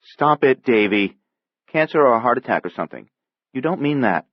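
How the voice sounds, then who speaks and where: A man's voice: medium pitch, expressive, moderate pace, no room sound, muffled recording, pitched above the performer's own voice. A man voicing a bossy, protective girl of almost twelve, firm and earnest, in a storybook reading. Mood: alarmed.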